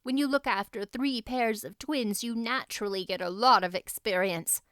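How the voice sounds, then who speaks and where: A woman voicing a confident, talkative young girl heroine in an audiobook, brisk and earnest. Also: on the high side, a bit bright, dry recording, studio quality, close to an everyday voice. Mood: cheerful reassurance. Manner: brisk, earnest, take-charge. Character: confident, capable, talkative eleven-year-old girl heroine.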